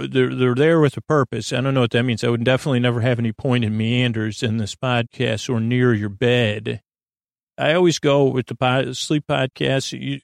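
Creaky-voiced man rambling through a bedtime monologue. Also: medium pitch, no room sound, clean studio sound. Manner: halting, circling, self-interrupting delivery with false starts. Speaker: host of a sleep podcast.

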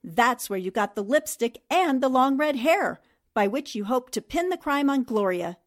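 A woman playing a high-voiced, shrewd newspaperwoman sleuth. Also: expressive, normal speed. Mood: confident, methodical. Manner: precise, methodical explaining. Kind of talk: 1940s radio mystery acting.

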